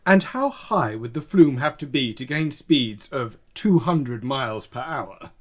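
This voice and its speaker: A male news presenter, played by an actor, formal and polite yet openly sceptical. His intonation is very animated and his voice sits fairly high for a man, in the style of a spoof broadcast interview. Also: a little slow. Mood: politely formal. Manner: formal, incredulous questioning.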